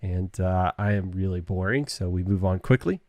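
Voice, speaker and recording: A man's voice: deep voice, normal speed, a little mellow, no echo. A man hosting a podcast interview, easygoing and self-deprecating in manner. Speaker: podcast host.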